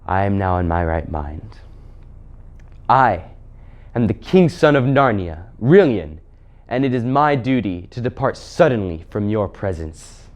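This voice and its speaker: A man's voice: on the low side, lively intonation, unhurried. A man playing a noble, resolute young prince who speaks in a formal, courtly, declamatory way. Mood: proud, resolute.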